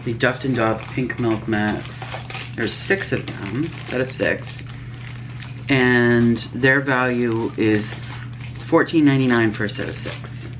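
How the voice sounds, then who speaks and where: Sniffly lady doing a makeup box unboxing, super low voice, some background hiss. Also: takes it slowly. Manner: chatty, reads prices and labels. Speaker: beauty vlogger. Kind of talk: casual unboxing chat.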